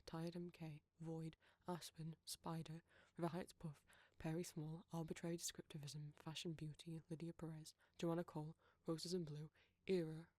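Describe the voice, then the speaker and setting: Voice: mid-range voice, darkish tone, no echo. A British audio roleplay creator reading out a scripted outro of thanks and credits.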